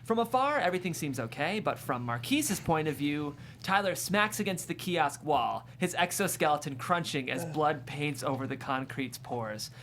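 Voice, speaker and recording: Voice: mid-range voice, animated, normal speed, hardly any pauses, fairly bright tone, faint music behind. A narrator reading screenplay stage directions at a comedy table read in a descriptive, amused manner.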